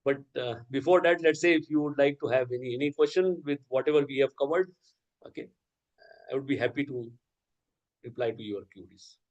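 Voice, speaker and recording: A man's voice: mid-range voice, fairly quick, darkish tone, dull audio. A man whose first language is Urdu, lecturing teachers over a video call and often checking in with "okay" and "right?". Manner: explanatory, frequent "okay" and "right?" check-ins. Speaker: workshop resource person.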